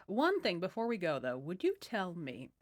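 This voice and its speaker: A woman's voice: fairly low pitch, huge pitch swings, moderate pace. Woman playing a bossy, touchy talking dog. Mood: coaxing. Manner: casual modern slang, bossy. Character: huge pushy talking dog, touchy, wheedling male suitor.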